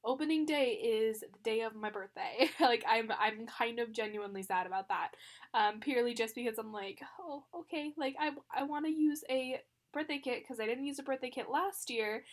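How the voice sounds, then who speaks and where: A woman's voice: a little high, moderate pace. A young woman chatting casually as a planner vlogger. She sounds congested from the flu, with a slight cough, and talks with lots of fillers and little laughs. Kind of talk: casual chat.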